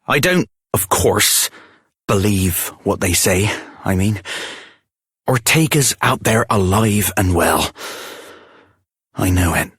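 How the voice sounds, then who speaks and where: A kindly old-comrade armour smith for a video game. He speaks unhurriedly with a bright, forceful edge and big swings in pitch, wistful and earnest. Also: husky, dry recording, studio quality, heavily acted. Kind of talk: scripted video game voice acting.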